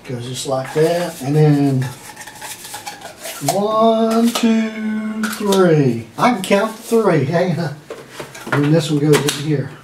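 A man's voice: a little high, expressive, slow pace. An older man, around his sixties, thinking aloud in short fragments during a casual hands-on demonstration. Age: older, around 60s. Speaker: hobbyist demonstrator testing old electronics. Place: indoor room at home, seated at a wooden table. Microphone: camera mic a few feet away. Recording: roomy, distant mic, noisy with handling clicks.

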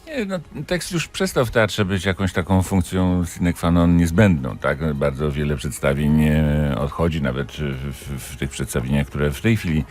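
A man discussing theatre as a radio interview guest, haltingly searching for his words. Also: very deep voice, a little mellow.

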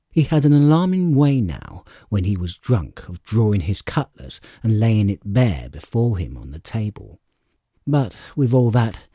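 A man reading a classic adventure novel as an expressive audiobook narrator. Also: on the low side, husky, no echo, studio quality.

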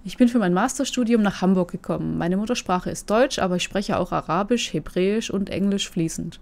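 A woman's voice: mid-range voice, fairly quick, creaky, darkish tone. A woman hosting a casual commentary, reading listings aloud and musing about them in a chatty way, often turning to ask her co-host questions.

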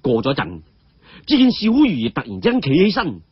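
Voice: mid-range voice, huge pitch swings, brisk, breathy edge, no room sound. A storyteller with a Cantonese accent narrating a martial-arts tale in a dramatic audiobook style. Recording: dull, muffled audio.

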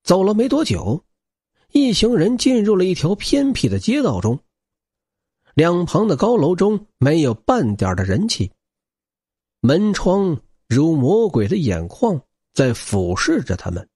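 A man's voice: fairly high pitch, wildly swooping pitch, measured pace, a little mellow, husky, no room sound, clean studio sound. A man reading a novel aloud as a dramatic scripted narration. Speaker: audiobook narrator.

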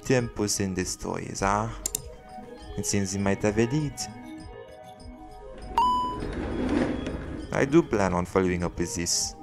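A man's voice: on the low side, moderate pace, creaky, music in the background. A man playing a proud, bantering werelion vigilante in an audio roleplay, theatrical and formal in his wording. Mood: curious, serious.

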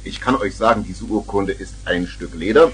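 An actor playing a jovial, tippling old uncle who tells tall tales theatrically in a 1970s children's radio play. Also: male voice, sits low, normal speed, a little hiss or hum, natural voice. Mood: storytelling.

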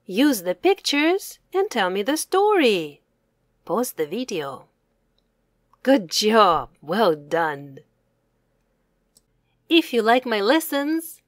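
Very slow, lively woman teaching children. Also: on the high side, no echo, clean studio sound. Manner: deliberate, separated words with exclaimed prompts. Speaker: English teacher. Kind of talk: slow read-aloud language lesson for children.

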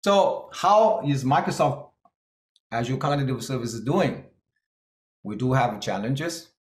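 A middle-aged man from China with a Chinese-Scottish accent, giving an earnest keynote talk with slides and pausing on fillers. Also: medium pitch, animated, roomy sound. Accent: Chinese-Scottish English. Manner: earnest, plain-spoken, with hesitant fillers. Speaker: keynote speaker.

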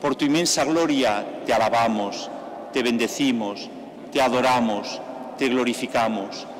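Older male priest, slow measured liturgical speech, long church reverb, faint background hiss. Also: mid-range voice. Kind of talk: leading a church mass. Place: large church interior. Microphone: stand mic at the altar.

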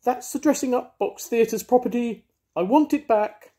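An older man voicing a dramatic, theatrical female cat with a shrill, shrieking manner. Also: big pitch swings, slow, mellow, breathy edge, heavily acted. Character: dramatic diva female cat, prone to rages. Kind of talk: storybook read-aloud.

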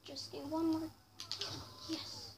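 Child, high voice, unhurried pace, casual gameplay commentary, short self-directed remarks, noisy home recording. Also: level tone. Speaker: gamer. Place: indoors, filming a TV screen. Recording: noisy room recording off a screen.

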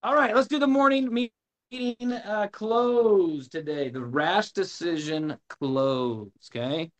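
Animated high-voiced male sales coach. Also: takes it slowly. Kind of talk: sales training on a video call. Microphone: video call.

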